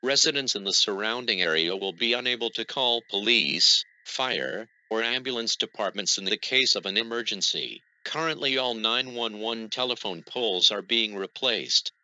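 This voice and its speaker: Very sharp, forceful synthetic male announcer. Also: fairly low pitch, no echo, low-quality recording. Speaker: emergency alert announcer. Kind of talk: scripted emergency alert announcement.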